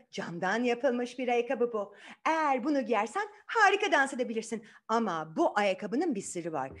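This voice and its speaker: A woman's voice: high voice, fairly bright tone, heavily acted. A woman voicing a kindly, bustling fairy godmother in a children's tale, exclaiming, instructing and chanting spells. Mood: reassuring, instructing. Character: kindly, bustling female fairy godmother, excitable magic-worker.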